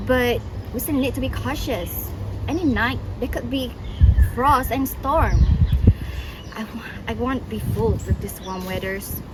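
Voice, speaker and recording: Woman playing a humble chatty old servant onstage, kinda high voice, rambly n hesitant, lots of noise behind. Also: measured pace, close to an everyday voice. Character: chatty, deferential old working man, widowed, kindly. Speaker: stage actor. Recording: noisy recording with background ambience.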